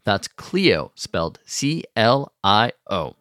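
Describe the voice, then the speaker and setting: A man's voice: lowish voice, big pitch swings, slow, a bit bright, faint music behind. Upbeat man reading an ad. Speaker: ad spokesman. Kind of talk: scripted ad read.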